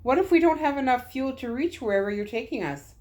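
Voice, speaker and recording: A woman's voice: high voice, animated, fast talker, a little mellow, voice pushed up. A woman voicing a wary male plane captain in a story, guarded and questioning.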